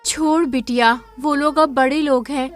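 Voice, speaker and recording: A man's voice: very high voice, steady tone, moderate pace, faint music behind, pitched above the performer's own voice. A man doing a weary, loving poor mother. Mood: resigned. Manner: resigned yet fiercely protective. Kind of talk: cartoon storybook voice acting.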